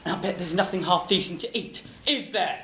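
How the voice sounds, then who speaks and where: An actor playing a bullying, contemptuous husband who taunts his wife and breaks into shouting. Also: high-pitched, a little fast, creaky, full character voice. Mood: sneering. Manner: sneering, taunting, explodes into shouting. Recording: roomy echo.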